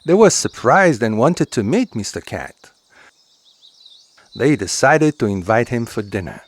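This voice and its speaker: A man narrating a children's folktale as a scripted story, in a deliberate, expressive manner. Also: mid-range voice, slightly rough.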